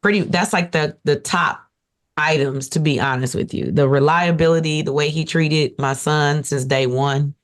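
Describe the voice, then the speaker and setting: A woman with a raspy voice, talking casually and playfully about her husband on a podcast. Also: sits low, moderate pace. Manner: animated, playful, teasing. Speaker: podcast guest, wife. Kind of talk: casual chat.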